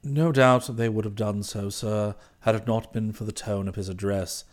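A man voicing an unflappable, deferential English gentleman's valet in an audiobook, with upper-class British English and formal, measured, discreet phrasing. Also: on the low side, steady tone, pitched below the performer's own voice, lightly acted. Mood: calm, deferential. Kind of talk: audiobook character voice.

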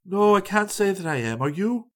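A man voicing a mild, earnest reverend who speaks in a measured way. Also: sits high, lively intonation, a bit bright, voice pushed up. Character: mild, talkative middle-aged male reverend.

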